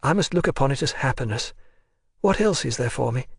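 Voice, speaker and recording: A man reading the part of a resigned, emotional young woman prisoner in an audiobook, his voice a touch raised and soft. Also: mid-range voice, expressive, fairly quick, vocal fry, slightly rough, dry recording, studio quality. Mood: earnest.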